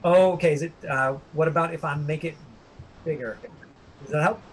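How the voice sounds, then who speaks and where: A man with a husky voice working as an acting coach, giving an informal online lesson in a halting, rambling way. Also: a little high, a little hiss or hum, low-quality recording. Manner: halting, rambling explanations full of tangents. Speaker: acting coach teaching a class.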